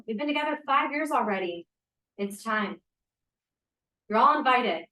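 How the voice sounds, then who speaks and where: A woman reading the part of a confident, principled young scientist in a period drama, measured and earnest. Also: fairly high pitch, animated, bright, forceful tone, recorded from a distance. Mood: earnest, firm. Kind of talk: table read of a period drama. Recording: echoey room.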